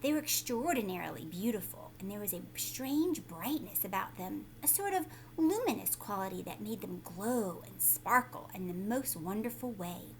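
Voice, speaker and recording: A woman's voice: medium pitch, expressive, normal speed, few pauses, a little hiss or hum, rough recording. A woman teacher reading a children's story aloud, speaking expressively and emphatically, with explanatory asides for young listeners.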